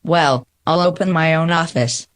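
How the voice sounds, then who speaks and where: A woman's voice: deep voice, unhurried. A woman playing an earnest, idealistic male lawyer in a radio play.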